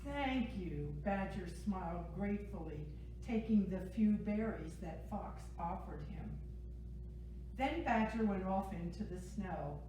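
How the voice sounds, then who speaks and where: A woman reading a children's picture book aloud as a library storyteller, with expressive, dramatic phrasing. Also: medium pitch, a little slow. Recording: echoey, distant mic, faint noise.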